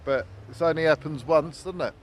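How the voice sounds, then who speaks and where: A man's voice: fairly high pitch, fairly quick, a little hiss or hum. Easygoing man, slangy chat. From England. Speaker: friend on the trip. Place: outdoors at an event.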